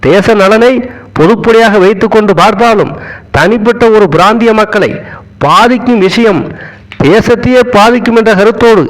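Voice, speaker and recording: A man with a high, light voice delivers a formal political oration. He speaks in short, deliberate phrases and keeps a stately, measured manner throughout. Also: poor audio. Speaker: speech reader.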